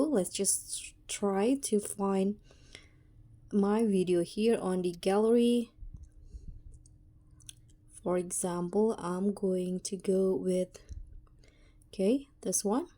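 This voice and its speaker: A woman narrating a casual step-by-step app tutorial as its host, with a halting, hesitant delivery. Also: mid-range voice, warm tone.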